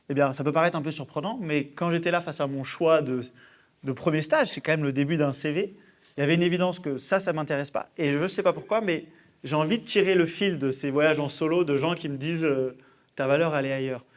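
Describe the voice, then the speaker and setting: Guy early 30s, slightly high voice, giving a personal conference talk, informal and a bit halting. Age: early thirties. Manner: informal, halting, self-questioning delivery. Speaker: conference speaker. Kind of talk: personal testimony in a public talk.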